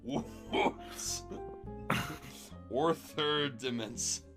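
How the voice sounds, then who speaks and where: A man's voice: mid-range voice, very slow, music in the background. A man streaming a comic reading of fan fiction, narrating in an amused way and prone to breaking up.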